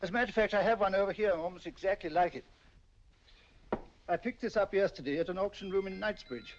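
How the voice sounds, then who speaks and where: A man's voice: high voice, fast talker, a little hiss or hum, natural voice. An actor with a British accent playing an affable male collector, chuckling as he talks in 1940s film style. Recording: dull old film soundtrack.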